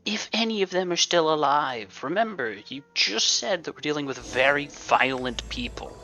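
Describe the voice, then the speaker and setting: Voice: mid-range voice, animated, normal speed, pushed and bright. A streamer giving casual, joking, flippant commentary over a video game. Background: game music.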